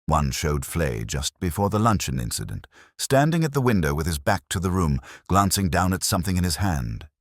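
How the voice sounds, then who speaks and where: Very deep male mystery narrator. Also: big pitch swings, moderate pace, few pauses, no room sound, studio quality. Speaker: audiobook narrator. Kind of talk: scripted mystery story narration.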